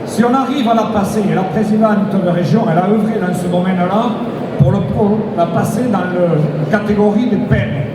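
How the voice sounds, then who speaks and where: A high-voiced man speaks bluntly and colloquially from the floor of a large exhibition hall. His voice comes from a distance, with heavy reverb and a steady murmur of noise around it. He sounds like an elected official making his case off the cuff. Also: moderate pace. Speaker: local elected official, vice-president of the mayors' association. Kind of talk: unscripted public speech. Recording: echoey hall, distant mic, background noise.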